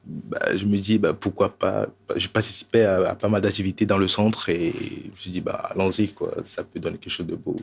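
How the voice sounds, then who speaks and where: Soft-spoken young man from Togo. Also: sits low, little pitch movement, fairly quick, vocal fry, few pauses, slightly rough. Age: 20s. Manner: hesitant, many fillers, soft laughs. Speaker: dancer, singer and poet. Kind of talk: relaxed panel chat with a recited poem.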